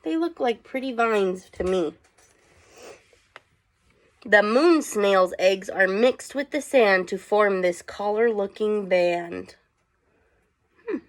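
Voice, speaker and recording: A woman teacher reading aloud to children, with chatty asides and questions for her young listeners. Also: medium pitch.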